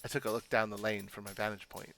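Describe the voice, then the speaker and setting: A man's voice: lowish voice, level tone, quick pace, vocal fry, bright, forceful tone, soft background music, pitched below the performer's own voice. A man voicing an awkward, sardonic young woman who narrates her visual novel adventure in a self-deprecating way. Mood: wry, rambling.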